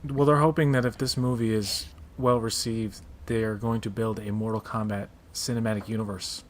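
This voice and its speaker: A man's voice: fairly low pitch, flat delivery, moderate pace, a little hiss or hum. A man chatting casually and enthusiastically, with strong opinions, as a panelist on a pop culture show. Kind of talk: casual chat about movie trailers.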